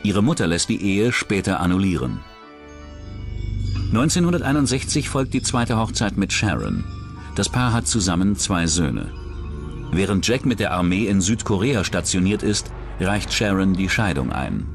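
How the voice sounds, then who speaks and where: A man's voice: low voice, sing-song, moderate pace, music in the background. A man reading scripted true-crime documentary narration as the narrator.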